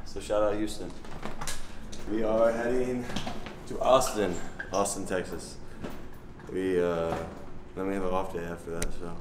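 A young man with a low voice that has gone worn from touring, chatting loosely into a handheld camera. He jokes and rambles casually. Heavy background noise runs under everything he says. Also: flat delivery, measured pace, long pauses. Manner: loose, joking, laid-back. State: losing his voice. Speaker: touring rapper vlogging. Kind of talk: casual tour vlog chat.

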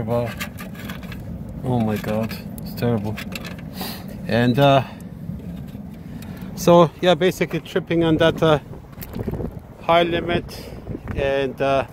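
A male HVAC technician talks haltingly through a repair, filling his pauses with "uh". He speaks at an ordinary middle pitch into a handheld phone. He is outdoors on a rooftop, and clear wind noise hits the mic. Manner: halting, full of "uh" fillers. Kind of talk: casual work video narration. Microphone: handheld phone camera.

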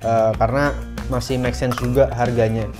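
A man's voice: mid-range voice, little pitch movement, normal speed. A young man from Indonesia, a barbershop founder, chatting casually in a home kitchen on a lapel mic, mixing in English business terms and fillers. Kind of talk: casual chat while cooking. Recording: background music over the talk. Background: background music and frying sounds.

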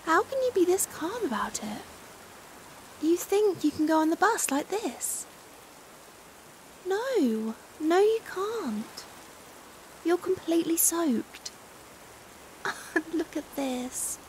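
A British woman playing a caring, fussing young stranger in a chatty, expressive ASMR roleplay. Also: very high voice, takes it slowly, pauses often, exaggerated voice. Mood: concerned, fussing. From United Kingdom. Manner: chatty, expressive, giggly hesitations. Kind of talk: acted ASMR roleplay, conversational. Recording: hiss and steady rain sound. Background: rain falling.

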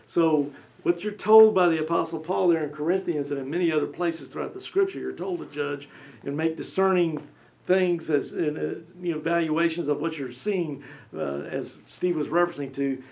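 A man's voice: fairly high pitch, moderate pace, vocal fry, a little mellow. A man in his forties discussing the Bible in a small studio, talking animatedly with lots of gestures and brief acted-out quotes. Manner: gestures a lot, acts out little voices. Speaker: co-host. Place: small studio set with armchairs.

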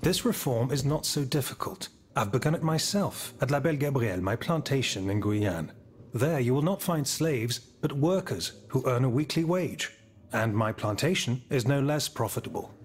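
A man's voice: mid-range voice, expressive, moderate pace, slight background noise, lightly acted. A man playing a self-assured aristocratic landowner and reformer in a period game drama, speaking formally and confidently.

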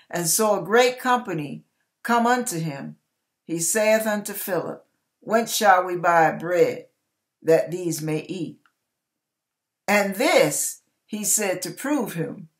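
A middle-aged woman reading Bible scripture aloud with deliberate, emphatic, dramatic phrasing. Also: lowish voice. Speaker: online church minister reading scripture. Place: small indoor room with curtain.

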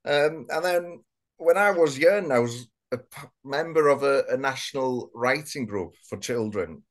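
A man's voice: mid-range voice, expressive, a little slow. A man in his mid-thirties from North Wales, a native Welsh speaker with a mixed northern and southern Welsh accent, chatting casually as an interview guest on a video call. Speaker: guest author. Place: small indoor room, on a video call. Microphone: video call, webcam distance.

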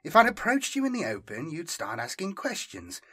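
Man voicing a smug, self-assured fugitive, slightly husky. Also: sits high, wildly swooping pitch, normal speed, sharp tone, pitched above the performer's own voice, heavily acted. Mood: amused, teasing. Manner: superior, teasing, appraising. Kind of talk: audiobook character dialogue.

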